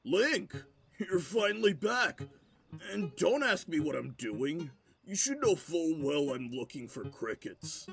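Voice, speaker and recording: A high, creaky-voiced lovesick villager, acted by a man in a fantasy audio play. He whines and pleads obsessively, drawing his words out slowly. Guitar music plays underneath. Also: big pitch swings, a bit bright, heavily acted. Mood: eager, insistent. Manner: whiny, pleading, drawn-out delivery. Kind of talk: fantasy audio drama acting.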